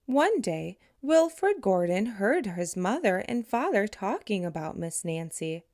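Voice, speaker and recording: A woman reading a picture book aloud to children in a deliberate, expressive storytelling manner. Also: mid-range voice.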